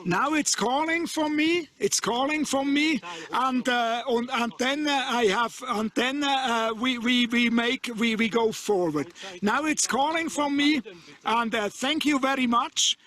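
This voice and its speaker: A middle-aged man with a Swiss German accent giving an informal outdoor demonstration as a radio operator, in halting, repetitive English. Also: very high-pitched, sharp tone. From Switzerland. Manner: halting, repetitive non-native English phrasing. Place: outdoors on an open rocky mountain summit.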